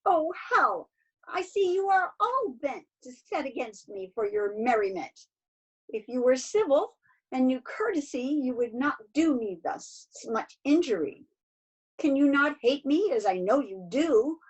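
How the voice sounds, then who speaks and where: Wronged young gentlewoman woman reader, Shakespeare table read: pleading, theatrical, unhurried, a bit breathy, muffled lo-fi call sound. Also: sits high, big pitch swings, full character voice. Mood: indignant, hurt. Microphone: video call. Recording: dull, lo-fi video call.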